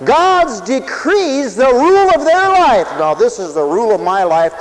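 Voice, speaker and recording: A man preaching a sermon for radio, who swings from loud, stretched-out lines to quiet asides and acts out other people's voices. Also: extremely high pitch, sing-song, measured pace, poor audio. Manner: dramatic swings from shouting to near whisper, acts out voices.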